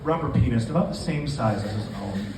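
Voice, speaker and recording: A man gives a live reading of his own story as its author. His voice comes from a distance in an echoey indoor room, with clear noise around him. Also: medium pitch, lively intonation, brisk. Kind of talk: live literary reading to an audience. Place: indoor bar event room. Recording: echoey, noisy bar venue, distant microphone.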